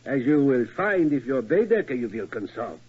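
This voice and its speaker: Casting: fussy castle guide, husky, dull old radio sound. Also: male voice, medium pitch, very animated, moderate pace. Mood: formal. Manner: formal, inverted word order. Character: middle-aged male German tower keeper, fussy. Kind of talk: 1940s radio acting. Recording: muffled old radio recording.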